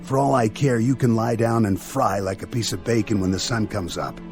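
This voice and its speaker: A man's voice: on the low side, fairly even intonation, normal speed, over music, close to an everyday voice. Man acting a stern, hard-nosed military spaceman. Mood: hard, scornful. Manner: commanding, scolding. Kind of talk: radio drama acting.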